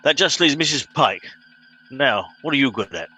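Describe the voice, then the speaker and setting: A man playing a pompous, bossy middle-aged captain in an amateur comedy radio play, brisk and officious. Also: medium pitch, expressive, bright, forceful tone, faint music behind. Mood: brisk, patronising.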